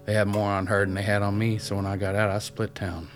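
Radio play role for a male actor: reclusive ex-addict backwoodsman, lowish voice, plain-spoken and wry, guitar music behind. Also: monotone, fast talker, lightly acted. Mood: guarded, wry. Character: reclusive rough-mannered ex-addict loner living in backwoods. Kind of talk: radio drama acting.

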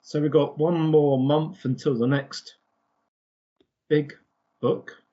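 Man, podcast host, rough husky tone, hesitant phrasing, casual discussion, muffled lo-fi audio. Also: a little high, little pitch movement, takes it slowly. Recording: dull lo-fi audio.

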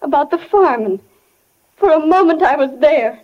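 A woman's voice: high voice, expressive, moderate pace, faint music behind. An actress playing a wistful young wife and mother who has died and yearns for life, in 1940s radio acting. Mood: yearning, insistent. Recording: dull vintage 1940 radio recording.

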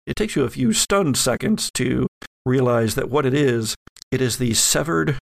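Gravelly-edged man narrating horror. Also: medium pitch, lively intonation, normal speed. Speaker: game master. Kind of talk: narrating a horror tabletop game.

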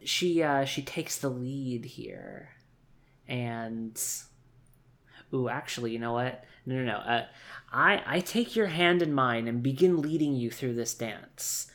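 A tabletop role-player of unclear gender casually improvising narration in a halting, wordy and wry manner. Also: mid-range voice, sing-song, a bit bright.

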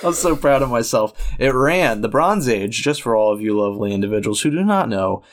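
A man's voice: medium pitch, lively intonation, brisk. A podcast co-host with a nasal voice, talking loosely and at length. He laughs often and pokes fun at himself as he explains things. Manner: rambling, laughs often, self-mocking. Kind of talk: casual chat.

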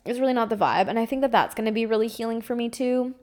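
A woman's voice: on the high side, fast talker, darkish tone. A woman in her twenties as a podcast host, telling a candid, chatty storytime monologue full of fillers and small laughs. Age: 20s.

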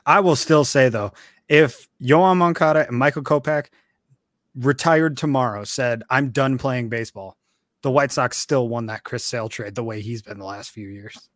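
A man's voice: medium pitch, normal speed, fairly bright tone, no room sound, studio quality. A man co-hosting a podcast, talking earnestly with lots of "like" fillers. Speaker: podcast co-host. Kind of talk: casual sports chat.

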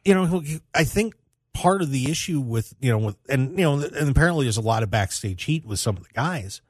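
A man hosting a casual sports talk radio show in an animated, emphatic way with dramatic pauses. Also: mid-range voice, slightly rough, no room sound, studio quality. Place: radio studio.

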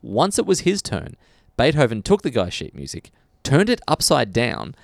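Brief: male podcast co-host, animated storyteller, dry studio sound. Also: medium pitch, moderate pace, creaky, no room sound. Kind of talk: casual podcast chat.

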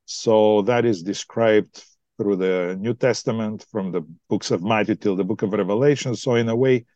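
A man with a Bulgarian accent, a pastor explaining his church thoughtfully in a podcast interview, pausing often to find words. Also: lowish voice, mellow, dry recording. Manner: explanatory, thoughtful, frequent hesitations. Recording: dry, dull lo-fi sound.

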